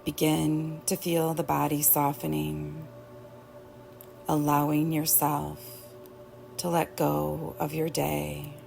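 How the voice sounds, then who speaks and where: A woman teaching yoga nidra, guiding relaxation in a gentle, soothing way with long pauses. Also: low voice, completely flat delivery, vocal fry. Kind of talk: guided relaxation meditation. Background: soft background music.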